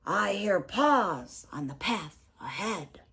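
A woman's voice: mid-range voice, sing-song, slow, fairly bright tone, slightly rough, voice pushed down, full character voice. A woman voicing a big, scary but gullible male monster from a children's storybook, by turns blustering and doubtful. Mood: wary, doubtful.

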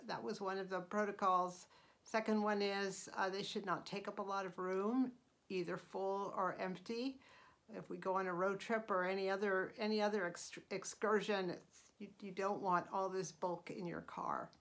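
Middle-aged woman, casual vlog host, chatty, unhurried, plenty of ums. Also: mid-range voice, fairly even intonation. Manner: chatty, unhurried, frequent uh and um, light laughs. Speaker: channel host.

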